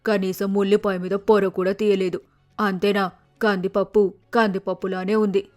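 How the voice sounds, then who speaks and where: A woman's voice: mid-range voice, flat delivery, normal speed, a little mellow, soft background music, lightly acted. A woman voicing a stern, scolding older sparrow mother-in-law in a cartoon. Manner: scolding, firm.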